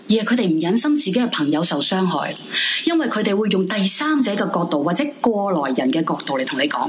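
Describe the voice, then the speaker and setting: A woman's voice: medium pitch, background noise, close to an everyday voice. A woman acting in a Hong Kong Cantonese radio drama, playing a heartbroken, lovesick young woman. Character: heartbroken, lovesick young woman narrating her sleepless longing. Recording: music bed under the voice.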